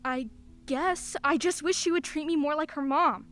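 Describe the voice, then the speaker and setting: An actress playing a middle-aged single mother, soft and soothing, with self-deprecating humor. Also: sits high, moderate pace. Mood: chatty. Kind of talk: radio play acting.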